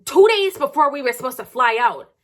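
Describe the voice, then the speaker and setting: A woman's voice: high-pitched, animated, brisk. An American woman vlogger delivering a heated gossip rant to viewers, blunt and confrontational, swearing heavily and repeating phrases for sarcastic emphasis.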